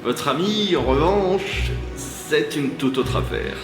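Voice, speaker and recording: A man playing a calm, courteous hospital doctor in an audio drama, measured and a little hesitant. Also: fairly high pitch. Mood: grave, polite. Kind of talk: French audio drama acting. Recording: roomy echo, music behind.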